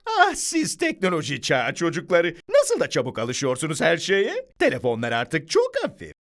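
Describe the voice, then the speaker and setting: A woman's voice: low voice, wildly swooping pitch, normal speed, exaggerated voice. A woman voicing a jolly, boastful wandering traveller with a theatrical, showy manner in a children's cartoon.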